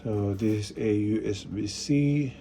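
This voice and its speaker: A man's voice: lowish voice, measured pace, creaky, mellow. A man casually narrating a hands-on installation tutorial, step by step in short instructional phrases. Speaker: product installation demonstrator. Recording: faint background noise.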